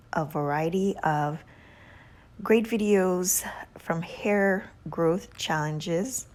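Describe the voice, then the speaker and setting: A woman with a Haitian accent hosts a casual vlog, giving gushing shout-outs at a slow, unhurried pace. Her voice sits a little low. She stretches her emphasis and drops into soft whispery asides. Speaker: beauty channel host.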